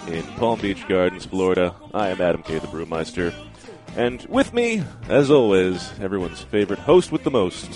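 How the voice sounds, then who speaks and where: A man's voice: deep voice, normal speed, faint music behind. Upbeat male radio co-host. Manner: energetic, upbeat presenter. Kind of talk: radio talk show banter.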